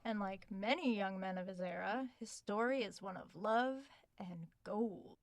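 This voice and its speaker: A woman's voice: medium pitch, measured pace, dry recording, lightly acted. A woman playing an inquisitive, polite but stubborn young writer in an audio drama, natural and articulate with a wry touch. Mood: narrating.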